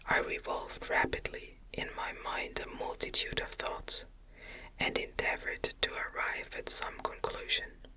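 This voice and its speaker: A woman reading a classic novel aloud in a gentle, soothing, breathy ASMR whisper, with measured phrasing. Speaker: audiobook narrator.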